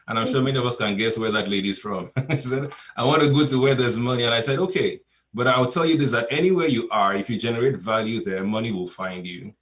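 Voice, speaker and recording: A man from Nigeria, a native Yoruba speaker, giving a relaxed, story-filled coaching talk over a video call. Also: mid-range voice, quick pace. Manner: storytelling, relaxed, chuckles between points.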